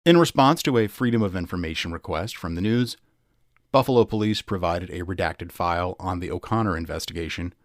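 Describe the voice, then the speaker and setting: A man's voice: deep voice, no room sound, studio quality. A man reading a newspaper article aloud as scripted true-crime podcast narration.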